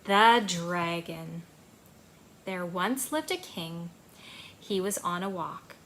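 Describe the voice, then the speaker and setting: A woman in her thirties hosting a children's storytime, speaking in an animated, encouraging way to young listeners in a small home room. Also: on the low side, slow. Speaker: children's library storytime host. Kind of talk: children's storytime with action rhymes and reading.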